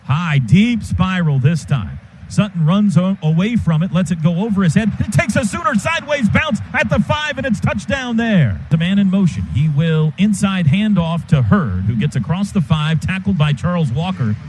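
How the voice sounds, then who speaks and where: An American man giving live radio play-by-play of a football game in clipped phrases with excited calls. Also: high voice, moderate pace, a little hiss or hum.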